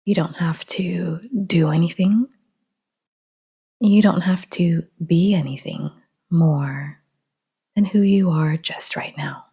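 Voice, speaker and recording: Very slow, breathy woman meditation guide. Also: lowish voice. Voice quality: soft, breathy, half-whispered. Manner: calm, gentle, unhurried with long pauses. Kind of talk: guided relaxation meditation, soft-spoken.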